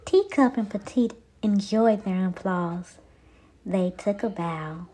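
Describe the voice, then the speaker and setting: A woman reading a picture storybook aloud to young children, with theatrical, drawn-out emphasis. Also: medium pitch, warm tone.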